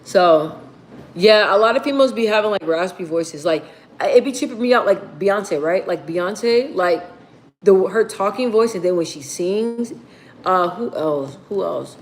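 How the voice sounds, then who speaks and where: A woman with a deep, bassy voice, casually chatting with viewers on a livestream. She rambles, reads comments aloud and swears freely. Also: animated, a little slow. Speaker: livestream host.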